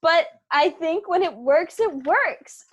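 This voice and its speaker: A woman's voice: very high-pitched, expressive, slow. A young woman giving conversational interview answers in an effusive, emphatic way. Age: young adult. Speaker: interview guest, theatre company co-founder.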